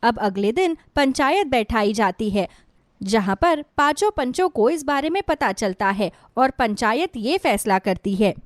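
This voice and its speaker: A woman's voice: on the high side, lively intonation, unhurried, dry recording, clean studio sound. A woman narrating a scripted moral bedtime story.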